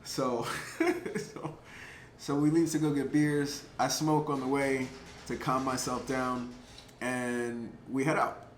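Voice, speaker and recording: Casual male vlogger, filler-heavy, animated storyteller, echoey room. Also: mid-range voice, slow pace. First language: English. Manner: chatty, lots of "like" and "um" fillers, laughs between thoughts; animated, gets louder at exciting moments. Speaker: vlogger telling a personal story. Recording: roomy echo, faint background noise.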